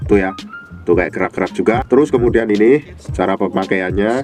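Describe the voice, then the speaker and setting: A man's voice: sits low, a little mellow, soft background music. A man with a cold and a blocked, nasal voice, demonstrating products casually for an online shop and calling the viewer "boss" all the time. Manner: fast and informal, calls viewer "Mas Bos" constantly. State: stuffy nose from a cold. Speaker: online herbal product seller.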